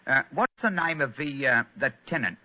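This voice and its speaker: An actor playing a fawning, chatty London plumber with a Cockney accent and rambling, colloquial speech in an old-time radio mystery. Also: male voice, mid-range voice, animated, normal speed, close to an everyday voice. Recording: dull, lo-fi old radio recording.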